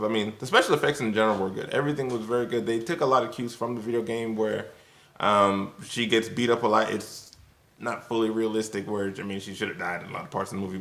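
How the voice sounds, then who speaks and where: A man's voice: lowish voice, vocal fry, a bit bright. A man talking casually and a bit loosely to viewers as he reviews, in an informal conversational way. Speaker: video reviewer. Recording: roomy, echoing sound.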